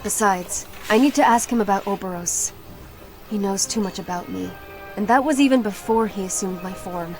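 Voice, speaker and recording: An actress playing a serious, resolute young female warrior in a video game cutscene, firm and measured, with music behind her. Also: mid-range voice, lightly acted, low-quality recording. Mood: determined, wary.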